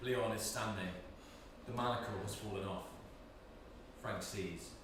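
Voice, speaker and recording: A man's voice: on the low side, steady tone, unhurried. Very echoey male stage-direction narrator. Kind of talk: scripted narration. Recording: very echoey, distant mic, noisy.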